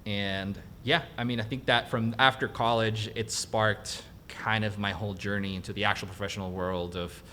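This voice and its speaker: A young man from Mexico, a native Spanish speaker, telling his career story as a guest in a casual interview, animated and laughing easily. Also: on the low side, moderate pace, few pauses, a little hiss or hum. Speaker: guest cinematographer.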